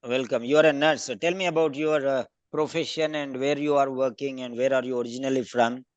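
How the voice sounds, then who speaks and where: A man teaching an online speaking class over a video call, briskly calling on students by name for feedback. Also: medium pitch, steady tone, no room sound, muffled recording.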